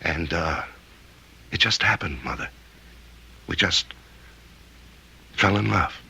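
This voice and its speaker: Sheepish, smitten son, husky voice. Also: male voice, deep voice, expressive, pushed and bright, full character voice. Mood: earnest explaining. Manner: hesitant, earnest, halting. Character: smitten, sheepish grown son, newly married. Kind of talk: old-time radio drama acting.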